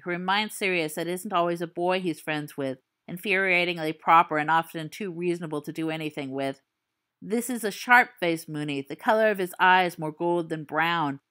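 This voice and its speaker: A woman reading a fan-written story aloud, with expressive, suspenseful storytelling. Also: on the low side. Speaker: audiobook reader.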